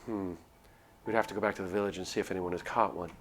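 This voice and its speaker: A man's voice: low voice, fairly even intonation, fast talker, fairly bright tone, slight background noise. A man reading a visual novel aloud as a streamer, in dramatic storytelling narration with casual asides. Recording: music under the voice. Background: music.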